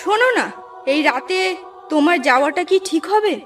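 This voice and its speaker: A woman plays an anxious cartoon village wife with an extremely high voice. She pleads and frets over her husband, a little far from the mic, with music clearly playing behind. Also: lively intonation, moderate pace, a bit bright. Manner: worried, pleading. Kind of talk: cartoon voice acting.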